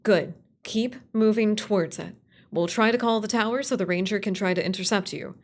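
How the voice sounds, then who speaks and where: A composed radio call-in host , voiced by a woman, from a spooky radio play. The delivery is measured and authoritative, reassuring the caller, with a creaky edge. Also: mid-range voice, natural voice. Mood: calm, reassuring. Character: calm, steady radio call-in host guiding frightened caller.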